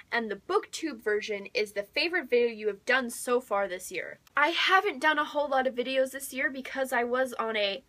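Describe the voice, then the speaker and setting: A young woman chatting casually as a book vlogger, excitable and gushing, with dramatic emphasis and whispery asides. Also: high-pitched.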